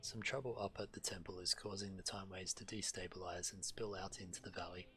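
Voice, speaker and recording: A male game streamer reads quest text aloud in a low-pitched voice. Game music is clearly audible behind him. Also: fairly even intonation, a little fast, sharp tone.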